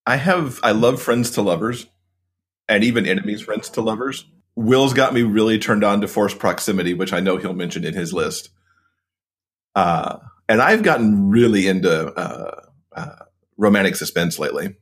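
A man with a low, husky voice, chatting as a podcast guest. He sounds relaxed and keen, with easy, informal phrasing. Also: normal speed. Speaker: podcast co-host, interview guest. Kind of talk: casual conversation.

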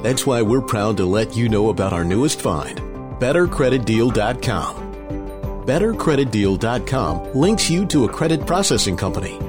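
A male announcer reads a sponsor spot in a slow, emphatic promotional style with a lively, animated pitch. Music plays clearly under the read. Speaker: show announcer. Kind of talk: read radio ad.

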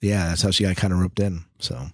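A man's voice: low voice, steady tone, fairly quick, breathy edge, dry recording, studio quality. A man hosting a podcast in a casual, chatty co-host style.

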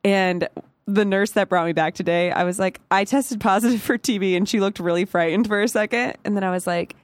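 A woman's voice: medium pitch, fairly even intonation, fairly quick, no room sound. A young woman with a head cold, co-hosting a casual podcast, chatty and full of "like" fillers and laughs. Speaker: podcast co-host. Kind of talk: casual chat.

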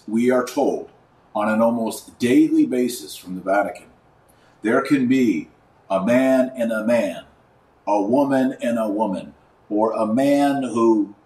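A man's voice: mid-range voice, a little mellow, roomy sound, far from the mic, slight background noise, low-quality recording. A man hosting a religious commentary podcast, speaking emphatically and indignantly, with rhetorical questions and sarcastic asides.

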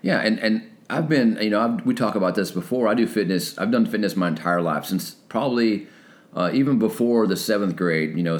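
Hoarse guy in his 40s, real low voice, laid back podcast chat. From North Texas. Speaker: co-host.

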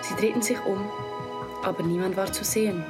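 A teenage girl with a Swiss German accent reads the narration of a spooky tale at a steady pace. Her voice sits at a mid pitch, and music plays beneath her. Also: brisk. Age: teens. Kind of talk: scripted narration.

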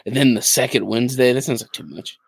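A man's voice: medium pitch, vocal fry, breathy edge, no echo. A man hosting a casual podcast chat, talking in a slangy, jokey way. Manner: slangy, jokey, quick to laugh. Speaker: podcast host.

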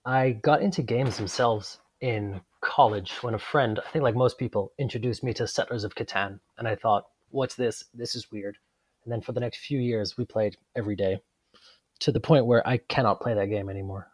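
A man raised abroad, answering interview questions as a guest game designer in a relaxed, matter-of-fact way with dry humor. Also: fairly low pitch, moderate pace, breathy edge, no room sound. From Netherlands, raised abroad.

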